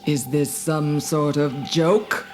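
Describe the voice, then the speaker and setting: Cruel female alien commander, acted by a woman, arrogant and deliberate, storybook drama with music underneath. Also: sits low, steady tone, darkish tone. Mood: incredulous. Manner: imperious, gloating, deliberate. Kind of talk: dramatised storybook audio acting. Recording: music behind the voice.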